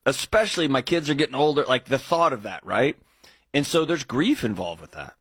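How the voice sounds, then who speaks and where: A man's voice: medium pitch, animated, a little fast, husky, no room sound. A man in his forties co-hosting an advice call-in show, talking earnestly and reflectively with frequent restarts. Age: 40s. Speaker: co-host.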